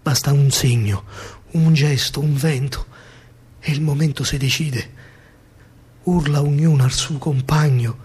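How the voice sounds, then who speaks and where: High-pitched Roman man, dramatic verse storyteller. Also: fairly even intonation, a little slow, long pauses, darkish tone. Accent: Roman Italian. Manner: declamatory, emphatic, rhythmic phrasing. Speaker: stage actor and storyteller.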